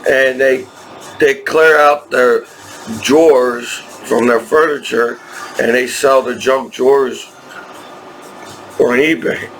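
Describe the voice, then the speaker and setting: A man in his thirties rambles through a personal vlog, unhurried and slow. He halts and repeats himself often, restarting his sentences. A faint hum of ambient noise sits behind him. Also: medium pitch, steady tone, a bit bright, low-quality recording. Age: 30s. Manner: halting, repetitive, with many restarts. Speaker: vlogger.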